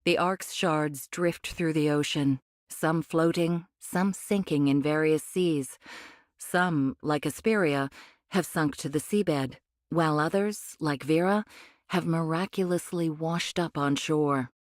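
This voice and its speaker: An actress playing a condescending cyborg angel of a rebel faction in an anime game, speaking in a measured, cryptic way. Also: female voice, deep voice, no room sound, clean studio sound. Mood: calm, explanatory. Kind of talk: anime game voice acting, expository dialogue.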